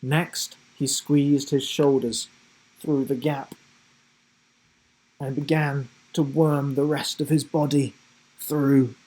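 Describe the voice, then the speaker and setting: Slow-paced, dramatic male storybook narrator. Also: medium pitch, rough recording. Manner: animated, suspenseful storytelling with deliberate pauses. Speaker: children's book narrator.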